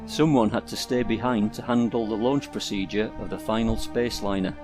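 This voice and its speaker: A man reading a short science fiction story aloud in a steady, measured way. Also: on the low side. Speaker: story reader. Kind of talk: scripted narration. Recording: reading over music.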